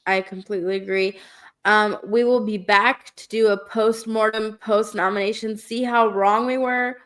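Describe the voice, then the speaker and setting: A woman's voice: mid-range voice, fairly even intonation, normal speed, a bit bright. A woman hosting a casual film podcast, talking in an easy chat with many fillers, her voice nasal from a cold. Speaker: podcast host.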